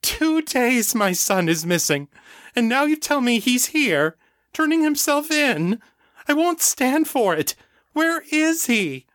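Man playing distraught middle-aged mother, high-pitched, tearful, dry close audiobook sound. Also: unhurried, vocal fry, long pauses, breathy edge, no room sound, heavily acted. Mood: raging. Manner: shrill, tearful, pleading.

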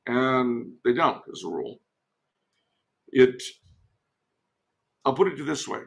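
Male commentator from the US, creaky voice, measured and wry, conversational podcast guest. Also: mid-range voice, lively intonation. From United States. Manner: wry, measured, deliberate phrasing.

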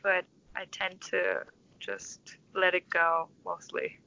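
A young woman with a Turkish accent gives reflective interview answers in a bright, forward voice. Her phrasing is thoughtful and halting. The recording is very dry and slightly dull, lo-fi. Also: fairly low pitch, big pitch swings, gravelly, no room sound. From Istanbul, Turkey. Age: 20s. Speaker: interview guest, orchestral conductor.

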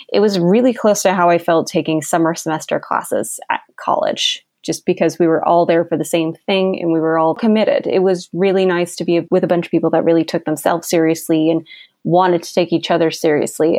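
A young woman speaks as a podcast guest, bubbly and quick to laugh. Her voice sits a little lower than most women's. The sound is dry and close, with no room around it. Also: a little mellow, no room sound. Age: young adult. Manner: bubbly and enthusiastic, laughs often. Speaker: guest copywriter. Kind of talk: conversational podcast interview.

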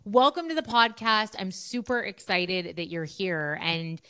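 A woman's voice: mid-range voice, lively intonation, a little slow, bright, forceful tone, clear, resonant tone, no room sound, studio quality. A woman hosting a podcast in an upbeat, conversational presenting style. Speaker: podcast host.

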